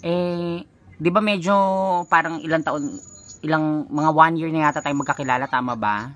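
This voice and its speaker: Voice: medium pitch, normal speed, a bit bright. A Filipino vlogger with a playful, giggly way of talking, chatting casually while making prank phone calls.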